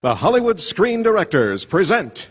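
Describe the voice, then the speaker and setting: A man announcing and narrating an old-style radio drama in a formal, measured way. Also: high voice, big pitch swings, husky, full character voice. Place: theater stage.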